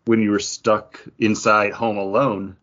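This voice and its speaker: A man's voice: on the low side, flat delivery, a little slow, fairly bright tone. A man co-hosting a podcast, chatting casually about things in a rambling, conversational way. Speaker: podcast co-host. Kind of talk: casual chat, craft beer review. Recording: dull, lo-fi audio.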